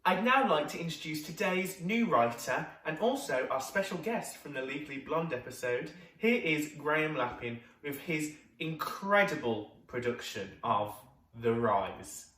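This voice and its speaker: A man's voice: a little high, animated, a little slow, a bit bright, far from the mic. A man hosting a show with scripted introductions and questions, recorded in an echoey room away from the mic. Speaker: host, interviewer.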